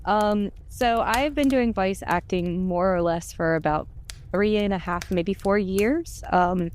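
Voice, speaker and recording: A woman's voice: medium pitch, unhurried, a little mellow, slight background noise. A woman chatting casually and telling personal stories in a candid, self-deprecating way. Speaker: podcast voice actor. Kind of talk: casual chat, personal storytelling.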